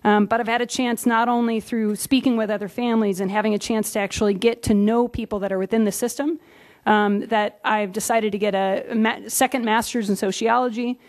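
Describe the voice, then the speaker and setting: A woman giving an earnest public comment into a podium microphone at a community meeting, with frequent pauses and fillers. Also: fairly high pitch, steady tone, a little mellow.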